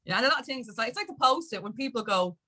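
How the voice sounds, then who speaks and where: A woman's voice: a little high, expressive, very fast, sharp tone. A woman with an Irish accent talking casually and emphatically as an interview guest, often dropping in "like" and "you know". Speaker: interview guest, music industry activist.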